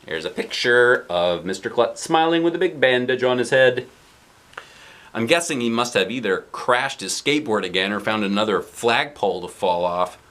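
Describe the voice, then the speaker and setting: A man narrating a children's storybook aloud in an expressive, dramatic way. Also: mid-range voice, moderate pace. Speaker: storybook reader.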